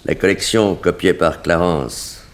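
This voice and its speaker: An actor playing a calm, coercive and sardonic blackmailer in a 1960s French radio crime drama. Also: male voice, deep voice, a little slow. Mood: brisk, businesslike. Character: menacing male blackmailer, smooth crook in haute couture.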